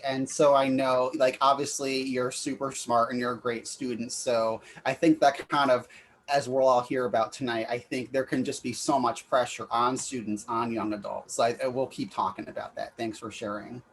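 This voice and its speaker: A man's voice: medium pitch, steady tone, moderate pace, a little hiss or hum. A young man hosting a panel chat, upbeat and encouraging as he introduces speakers and asks questions. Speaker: host.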